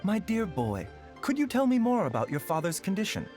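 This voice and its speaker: A gentle young doctor from an anime game, light-voiced and formal. The speech is measured and courteous, moving expressively across a wide range. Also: male voice, high-pitched, wildly swooping pitch, faint music behind. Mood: soothing, polite.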